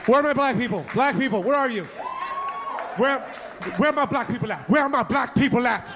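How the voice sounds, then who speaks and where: Man, stand-up comic, high voice, shouting punchlines, club crowd roaring behind. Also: brisk. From Colombia. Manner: loud, animated, switching into Spanish. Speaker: stand-up comedian. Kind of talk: stand-up comedy set. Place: comedy club stage. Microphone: handheld stage mic. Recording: live club with loud crowd. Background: audience laughter.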